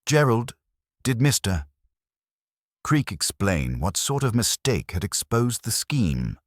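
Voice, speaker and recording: A man voicing a thoughtful, dryly observant police inspector in an audio mystery, measured and formal. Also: fairly low pitch, sing-song, no room sound, studio quality, voice pushed up.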